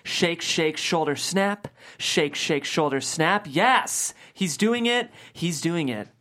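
Low-voiced, animated woman narrator. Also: slow pace. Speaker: stage directions reader. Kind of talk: scripted narration at a comedy table read.

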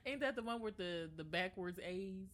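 A woman's voice: mid-range voice, expressive, normal speed, fairly bright tone, a little hiss or hum. A young woman co-hosting a podcast, playful and jokey, often exclaiming in surprise. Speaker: podcast co-host. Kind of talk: casual chat.

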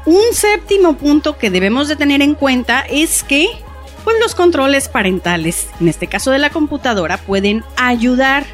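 A woman's voice: sits high, lively intonation, normal speed, faint music behind. A woman presenting advice for parents in a plain, explanatory way, dotted with filler words. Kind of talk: informational talk.

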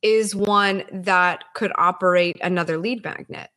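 A woman's voice: on the low side, steady tone, a little slow, sharp tone. Probing woman coach thinking aloud. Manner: probing, thinks aloud, many "like"s, acts out sample emails. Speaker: marketing coach. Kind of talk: casual coaching conversation.

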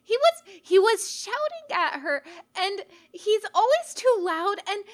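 A woman's voice: very high voice, very animated, takes it slowly, voice pushed up, heavily acted. A woman voicing a timid, tearful young rabbit girl in a storybook, pleading and whimpering. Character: timid, tearful little rabbit girl defending her sister.

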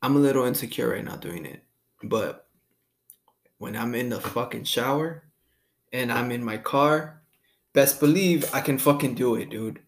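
A man's voice: medium pitch, normal speed, long pauses. A young man hosting a casual solo podcast, rambling and swearing freely in a laid-back way. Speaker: podcast host.

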